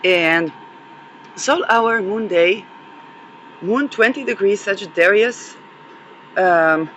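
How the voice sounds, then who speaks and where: A woman's voice: mid-range voice, takes it slowly, fairly bright tone, slight background noise, low-quality recording. A woman hosting an astrology podcast, losing her voice, talking in a rambling solo monologue full of hesitations and restarts. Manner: hesitant, many fillers and restarts.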